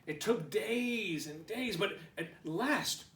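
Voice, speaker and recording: A man's voice: high voice, animated, slow pace, a bit bright. A man reading a children's picture book aloud as storytime host, expressively, with little asides to young listeners. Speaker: storytime reader, preschool teacher. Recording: roomy echo, distant microphone.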